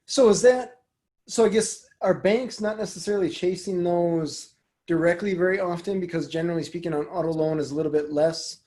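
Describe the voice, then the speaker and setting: A man's voice: sits high, brisk, dark tone. A man hosting a casual interview show, chatty and jokey in manner. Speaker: podcast and community radio host. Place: remote call.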